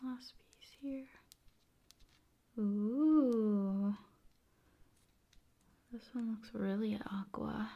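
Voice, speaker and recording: A woman doing ASMR in a soft, often whispered voice, with unhurried, soothing phrasing. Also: a little high, highs cut off. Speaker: ASMR video creator.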